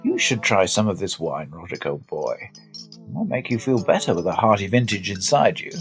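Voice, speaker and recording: An actor playing a genial, earnest visiting gentleman in a period radio drama, polite and coaxing in manner. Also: deep voice, big pitch swings, a little fast.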